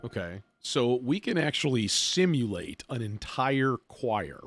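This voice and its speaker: A man's voice: mid-range voice, very animated, unhurried. A man hosting a casual music production demo, thinking aloud in an informal, self-deprecating way as he works. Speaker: livestream host, music producer demonstrating software.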